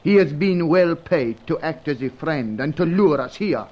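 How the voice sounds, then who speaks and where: Fearful, high-voiced manservant. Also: male voice, animated, unhurried. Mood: grave, formal. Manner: formal, florid, old-fashioned phrasing. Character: fearful, superstitious Brazilian manservant, male, formal and pleading. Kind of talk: vintage adventure serial radio acting. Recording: dull, noisy vintage radio sound.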